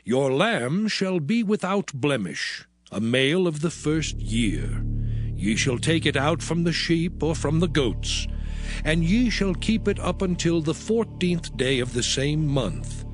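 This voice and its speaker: A man playing the God of Israel as a solemn, commanding lawgiver in a dramatized scripture reading, with measured, declarative delivery. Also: on the high side, sing-song. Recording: background music throughout.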